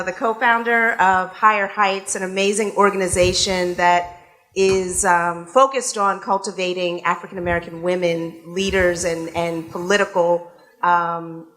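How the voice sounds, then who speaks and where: Woman welcoming a room at a panel. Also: fairly low pitch. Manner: warm, chatty, with frequent fillers and restarts. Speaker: radio station executive and event host. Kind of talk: welcoming remarks at a live panel event. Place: event room with an audience.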